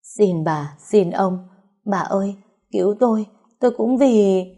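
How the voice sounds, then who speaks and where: A woman voicing a terrified older woman who pleads and sobs for her life, her voice husky and dark. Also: mid-range voice, a little slow. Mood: pleading. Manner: shrieking, sobbing pleas.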